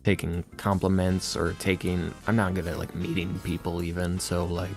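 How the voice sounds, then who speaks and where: A man being interviewed on a casual podcast as a guest, speaking in a halting, reflective, self-deprecating way. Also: low-pitched, flat delivery, faint music behind. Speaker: interview guest, ASMR roleplay creator.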